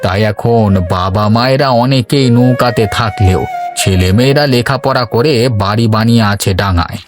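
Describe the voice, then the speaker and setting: Cartoon role: male actor as contented boatman of sixty, mid-pitched, measured storyteller, music underneath. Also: close to an everyday voice. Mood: reflective. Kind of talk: cartoon dialogue acting.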